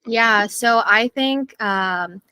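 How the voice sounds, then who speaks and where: A woman's voice: a little high, extremely slow, pushed and bright, clear, resonant tone. A young adult woman speaking as an interview guest, earnest and thoughtful, with frequent fillers. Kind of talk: reflective interview conversation.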